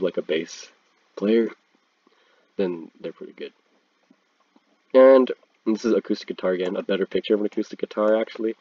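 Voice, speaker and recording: A man's voice: low-pitched, a little mellow, slightly rough, dry recording. A young man with a congested cold, talking in a laid-back, slangy way while commenting over a screen recording. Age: teens. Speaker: video creator ranking instruments. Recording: dull, lo-fi, dry sound.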